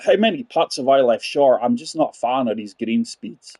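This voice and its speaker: A man's voice: mid-range voice, lively intonation, moderate pace, mellow, a little hiss or hum. A man in his forties giving chatty live commentary on a golf game, talking straight to his viewers. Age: 40s. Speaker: game streamer.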